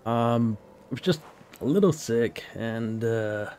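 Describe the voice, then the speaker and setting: A man with a sore, slightly hoarse throat, casually commenting on his own gameplay as a channel host. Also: medium pitch, slow pace, a little hiss or hum. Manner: relaxed, chatty, thinking aloud.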